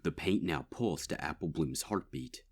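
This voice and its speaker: A man giving grave, measured narration of a dark fan story. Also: deep voice, expressive, gravelly, husky. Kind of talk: dark fanfic audiobook narration.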